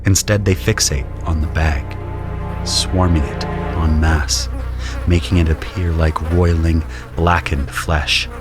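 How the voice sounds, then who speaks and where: A grim conscript soldier telling his story in an audio drama. The voice is low and measured, solemn and watchful, with music running underneath. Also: steady tone. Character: grim, observant male conscript soldier narrating trench horrors. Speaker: narrator and soldier character. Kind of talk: first-person scripted audio drama narration.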